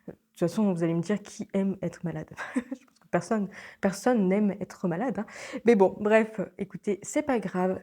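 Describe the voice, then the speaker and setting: A young French woman talks to camera from her home with a congested voice from a cold. Her tone is mellow and dark rather than bright, and she rambles cheerfully, with frequent fillers and little laughs. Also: medium pitch. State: stuffy nose from a cold. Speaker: book vlogger. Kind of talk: casual chat to camera. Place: at home, indoors.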